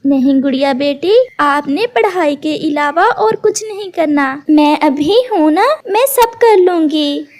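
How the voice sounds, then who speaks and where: A woman voicing a gentle, hard-working mother bird in a cartoon, with a caring, pleading manner. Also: high voice, lively intonation, measured pace, hardly any pauses. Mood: reassuring.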